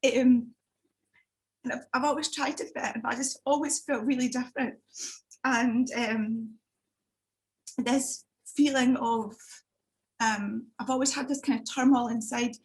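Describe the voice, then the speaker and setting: A woman's voice: fairly high pitch, fairly even intonation, measured pace, pauses often. A woman in her thirties, originally from Italy, sharing her personal story on a video call with many hesitations and restarts. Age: 30s. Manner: hesitant, frequent fillers and restarts. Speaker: summit speaker. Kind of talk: personal testimony talk.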